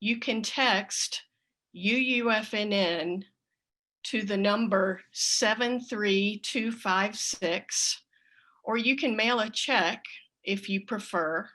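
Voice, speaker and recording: A woman speaking as a church leader, giving announcements and an offering appeal to her congregation in an appreciative, deliberate way. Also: medium pitch, a bit bright.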